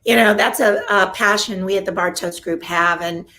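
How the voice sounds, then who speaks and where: A woman hosting a casual interview show, enthusiastic and full of praise, repeating her words when excited. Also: mid-range voice, normal speed. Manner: enthusiastic, gushing, repeats "love". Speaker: host, realtor.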